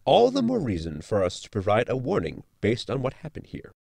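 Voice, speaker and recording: A man's voice: mid-range voice, huge pitch swings, brisk, gravelly, darkish tone, no echo, exaggerated voice. A man playing a polite, pedantic science robot in a sci-fi comedy audio drama, speaking formally and precisely. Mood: precise, explanatory.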